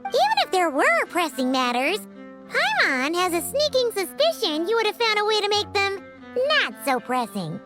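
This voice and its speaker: A tiny, cheeky fairy companion from a video game. The squeaky, childlike voice sits extremely high and bounces wildly in pitch, exclaiming over clearly audible music. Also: female voice, huge pitch swings, moderate pace, heavily acted. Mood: teasing. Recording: music playing behind the voice.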